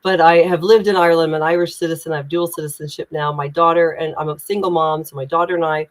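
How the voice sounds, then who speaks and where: A woman's voice: sits low, fairly even intonation, fast talker. A woman from Indiana with an American Midwestern accent, speaking as a communication coach in an emphatic, inspirational way on a video call. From United States (Indiana). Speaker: guest, executive communication coach. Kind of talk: LinkedIn live conversation.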